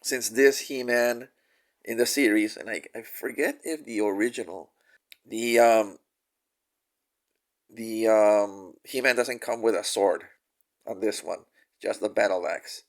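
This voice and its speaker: A man's voice: lowish voice, fairly even intonation. Nasal man recovering from a cold. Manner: halting, many fillers and restarts. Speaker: toy reviewer. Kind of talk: casual product review.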